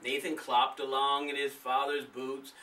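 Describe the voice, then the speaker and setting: Bright-voiced man narrating a story. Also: medium pitch, flat delivery, measured pace, recorded from a distance. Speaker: author and narrator.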